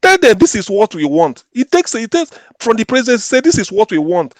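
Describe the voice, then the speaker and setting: A man's voice: sits high, very animated, fairly quick, dry recording. A man arguing emphatically on a panel, often stumbling and restarting his words. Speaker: panel guest. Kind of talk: heated panel discussion.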